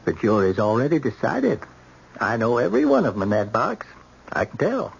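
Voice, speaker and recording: An actor playing a chatty, opinionated small-town hotel clerk with a raspy drawl, in radio drama style. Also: male voice, mid-range voice, sing-song, normal speed, highs cut off, heavily acted. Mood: grimly certain. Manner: folksy, drawling dialect.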